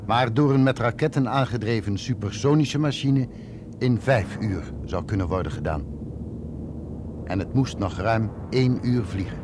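A man's voice: on the low side, moderate pace, background noise. A man reading scripted narration for a radio drama.

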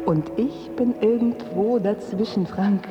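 A woman's voice: medium pitch, measured pace, highs cut off, close to an everyday voice. An actress in a radio drama playing a clinical, ominous woman who reads out search results in a clipped, list-like way. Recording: music behind the voice.

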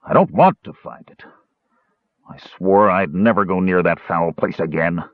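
A man playing a big, gruff, drunken warrior, gloomy and self-pitying, in a fantasy audiobook. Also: sits low, very animated, unhurried, dry recording, narrow, tinny audio, full character voice. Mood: anguished.

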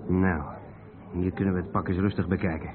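A noisy, narrow-band old radio play. A man plays a curious, decisive traveller with a lowish voice, matter-of-fact and ready to take charge. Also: fairly even intonation, brisk, lightly acted. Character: curious, decisive male traveller named Dirk, eager to investigate. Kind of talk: Dutch radio play acting.

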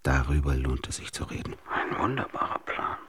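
A man acting a brooding figure in a literary radio drama monologue, obsessively deliberating in halting mutters that often sink into breathy whispers. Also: extremely low pitch, sing-song. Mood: hushed, absorbed.

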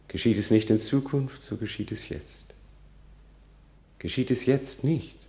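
An actor playing a melancholy, defiant young prince in a radio tragedy, theatrical, moving between brooding and fierce outcry. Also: male voice, deep voice, creaky, a little mellow, in a large hall, exaggerated voice. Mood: brooding, resigned. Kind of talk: classic radio play acting of stage tragedy.

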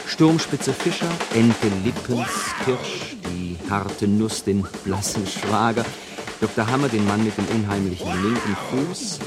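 An actor playing a restless, polite man eager to leave, with formal, halting speech, in an experimental radio play. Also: lowish voice, lively intonation. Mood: halting, reciting. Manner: formal, halting, recites lists. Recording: studio radio play with music behind.

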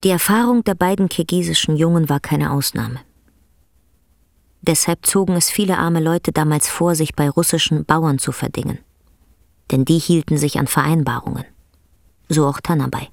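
A woman narrating a literary radio drama as a sober, measured storyteller. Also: low voice, creaky, dry recording, clean studio sound.

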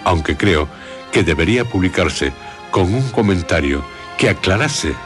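A man with an accent from Spain presenting a scripted literary radio programme in a formal, deliberate manner. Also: sits low, expressive, fairly bright tone. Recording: music bed under the voice. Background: music.

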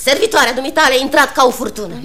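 A woman's voice: sits high, a bit bright. An actress playing a prim, pious, scatterbrained small-town lady, mincing and melodramatic, in a radio comedy. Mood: melodramatic recounting. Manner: mincing, melodramatic, long-winded. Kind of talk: theatrical radio play comedy acting.

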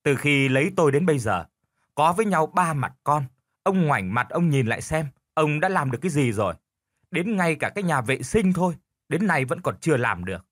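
A man voicing a bitter older wife in a storybook, sharp-tongued, sarcastic and full of reproach. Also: medium pitch, lively intonation, normal speed, no echo, studio quality. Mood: sarcastic, resentful.

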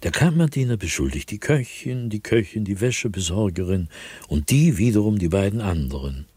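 A brooding, haunted gentleman diarist, low-voiced. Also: very animated, normal speed, breathy edge. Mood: matter-of-fact. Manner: brooding, intense, theatrical, with sudden swings in emphasis. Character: haunted, agitated gentleman diarist, proud intellectual sliding into fear. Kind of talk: literary radio drama monologue, diary reading.

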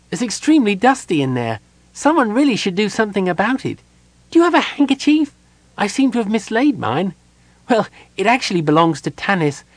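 A man's voice: high voice, no room sound, voice pushed up. A man voicing a cheerful, chatty adult male kender who rambles breezily.

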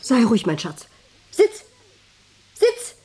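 High, husky, put-upon countrywoman. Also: huge pitch swings, slow pace, dark tone, exaggerated voice. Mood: bossy, coaxing. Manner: blunt, plaintive, ordering. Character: plain-spoken goat keeper and wife, put-upon, bossy, fretful. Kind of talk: German radio drama acting.

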